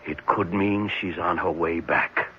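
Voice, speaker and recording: A man playing a thoughtful, assured psychiatrist in a 1970s radio drama, explaining things in a measured, reflective way with some hesitation. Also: sits low, fairly even intonation. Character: thoughtful, assured male psychiatrist at a private clinic. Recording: muffled, noisy 1970s radio recording.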